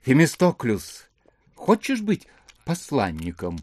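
Gushing landowner, wildly swooping voice. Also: male voice, medium pitch, huge pitch swings, no echo, exaggerated voice. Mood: doting, proud. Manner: effusive, saccharine, drawn-out words, giggly. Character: gushing, sugary, fawning landowner and doting father. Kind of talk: theatrical audio drama acting.

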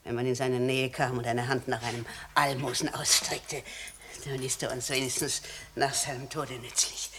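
An actor playing a callous, poor scavenger, gloating and scornful, in a 1960s German radio play. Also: male voice, mid-range voice, level tone, a little slow, a bit bright, close to an everyday voice.

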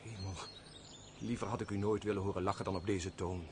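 An actor playing an earnest army major and loyal old comrade, speaking formally and emotively in a radio drama. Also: male voice, deep voice, steady tone, a little fast, very noisy, natural voice. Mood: dismayed, pleading.

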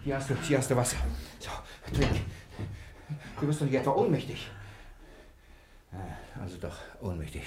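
An actor playing a desperate, sly but fond nephew on the run in a radio play, muttering to himself in agitation. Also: male voice, mid-range voice, very animated, moderate pace, long pauses, noisy background, close to an everyday voice. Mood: nervous, muttering. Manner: agitated, muttering, mock-tender.

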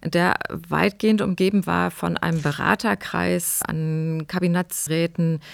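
Very creaky-voiced female expert. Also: fairly low pitch, fairly even intonation, dry recording. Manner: academic, measured phrasing. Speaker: historian, expert commentator. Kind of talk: explanatory expert commentary.

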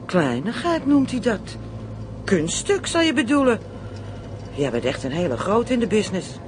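High-pitched, brash crook. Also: male voice, moderate pace. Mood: gleeful, boastful. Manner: slangy, boastful, excitable. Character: brash, greedy, playful Amsterdam criminal plotting kidnappings. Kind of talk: radio play acting. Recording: radio play with clear vehicle rumble.

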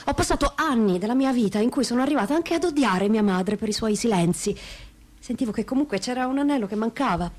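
A woman's voice: on the high side, lively intonation, fast, a little mellow. A woman acting a troubled daughter burdened by family silence in a staged testimony reading, earnest and hesitant, often repeating phrases.

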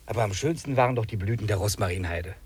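An actor playing a dreamy, nature-loving hiker with a lyrical, musing manner in a 1950s German radio drama. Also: male voice, on the low side, fast, breathy edge. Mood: dreamy delight.

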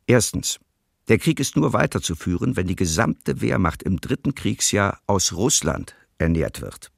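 Male official: detached, clipped document read, gravelly, dry radio docudrama sound. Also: lowish voice, big pitch swings, normal speed, dry recording, full character voice. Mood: cold, detached. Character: cold bureaucratic official reading a secret memo.

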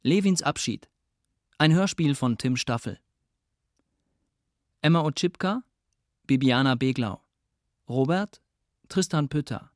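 Announcer for radio drama credits: unhurried, medium pitch, dry studio sound. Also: lively intonation, no room sound. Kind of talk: reading title and cast credits.

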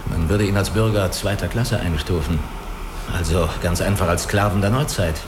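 An actor in a radio drama playing a guarded, analytical spaceship crewman who speaks deliberately and reasons step by step. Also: low-pitched, noisy background, close to an everyday voice. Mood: guarded, earnest. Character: guarded, analytical male spaceship crewman hiding his identity. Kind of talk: German radio play dialogue.

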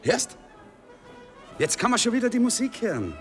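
Cocky young viennese soldier chatting up girls, very lively low-to-high delivery, offhand dialect, radio drama, music under it. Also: moderate pace. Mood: excited, breezy. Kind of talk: radio play acting in dialect.

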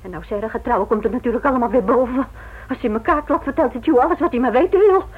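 An actress playing a worried, soft-hearted woman of a village inn in a radio drama, anxious and foreboding. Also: on the high side, rapid-fire, breathy edge, phone-quality sound.

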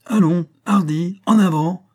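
A man performs a young sled driver from an adventure story. He is short-tempered, harsh and ironic, with a pitch lifted above a plain narrating voice. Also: a little slow, darkish tone. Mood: commanding.

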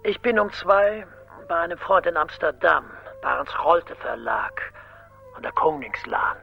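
Female caller, radio drama: hesitant, breathy, creaky, phone-filtered. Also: fairly low pitch, lively intonation, unhurried, exaggerated voice. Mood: guarded, quiet. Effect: telephone filter. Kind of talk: naturalistic radio play acting. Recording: muffled phone filter, music behind.

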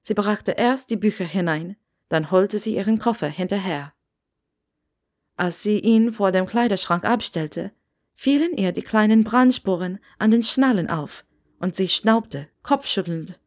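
A woman's voice: medium pitch, animated, unhurried. A woman reading a scripted story aloud as its narrator. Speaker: narrator reading fan fiction.

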